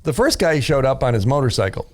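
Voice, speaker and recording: A man's voice: medium pitch, sing-song, quick pace, darkish tone. A man with an American accent co-hosting a radio show, chatting casually in a studio. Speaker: radio co-host. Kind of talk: casual bantering chat.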